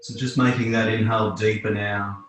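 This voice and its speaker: Low-pitched male yoga instructor, very echoey. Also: level tone, moderate pace, a bit bright. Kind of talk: guided yoga relaxation instruction. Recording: very echoey room.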